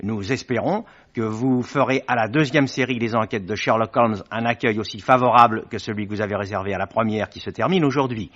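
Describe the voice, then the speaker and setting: A man's voice: on the low side, brisk, few pauses, a bit bright. A man presenting a radio programme in a formal, courteous, scripted 1950s style, on a dull vintage recording. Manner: courteous, ceremonious.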